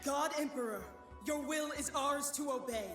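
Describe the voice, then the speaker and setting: High-pitched devoted imperial soldier. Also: male voice, normal speed. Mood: reverent. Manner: reverent, proclaiming. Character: fervent, devoted soldier of an emperor. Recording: echoing voice over music.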